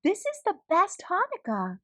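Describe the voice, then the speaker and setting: A woman voicing an eager, excited little girl in a storybook read-aloud. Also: extremely high pitch, normal speed, pitched above the performer's own voice, heavily acted. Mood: delighted. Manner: breathless excited questions.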